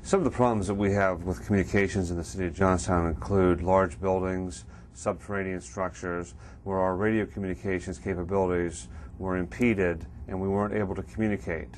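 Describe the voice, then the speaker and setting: Deep, flat-toned American fire chief. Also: male voice, fairly quick, hardly any pauses, a little hiss or hum. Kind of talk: on-camera interview testimonial. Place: indoor office room, seated.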